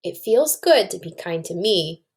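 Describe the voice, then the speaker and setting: A woman's voice: fairly low pitch, animated, measured pace, darkish tone, husky, full character voice. A woman voicing a kind, encouraging little boy from a storybook in a reassuring manner. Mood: self-soothing.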